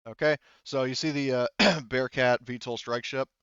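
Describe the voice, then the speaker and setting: A man casually narrating and refereeing a tabletop roleplaying game as game master, halting and loosely profane as he improvises. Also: medium pitch, fairly even intonation, fairly bright tone, clear, resonant tone, no echo, dull audio.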